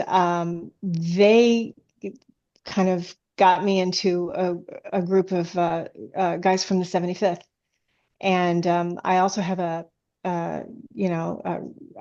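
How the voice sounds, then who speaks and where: A woman's voice: fairly low pitch, darkish tone, dull audio. A woman speaking as a guest author in a casual interview, hesitant and often restarting her sentences.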